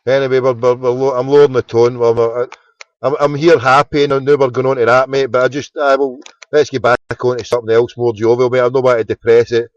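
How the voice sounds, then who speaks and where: A man's voice: medium pitch, little pitch movement, fast, no echo, highs cut off. A Scottish man hosting a casual phone-in, chatty and sweary, often repeating his words. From Scotland. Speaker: phone-in host.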